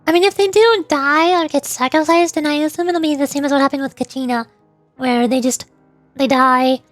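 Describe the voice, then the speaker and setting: A woman with a high voice streams a game. Her commentary is casual and chatty, wandering from thought to thought, with soft music in the background. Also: steady tone, brisk, no room sound. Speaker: streamer, VTuber.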